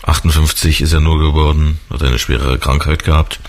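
A man with a very deep voice hosts a radio interview. He talks in a loose, chatty way and fills his pauses with "äh" and "ähm". He asks his guest questions as he goes along. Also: little pitch movement. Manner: chatty, with many fillers. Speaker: radio host.

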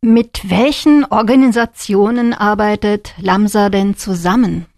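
A woman's voice: mid-range voice, steady tone, unhurried, darkish tone, no echo, clean studio sound. A woman working as a radio interviewer, putting prepared questions to a guest.

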